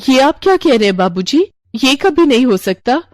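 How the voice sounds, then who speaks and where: A woman's voice: high-pitched, big pitch swings, moderate pace, no room sound, pitched above the performer's own voice. A woman voicing an earnest, dutiful young widow in a cartoon moral story. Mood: shocked. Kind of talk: animated moral story dialogue.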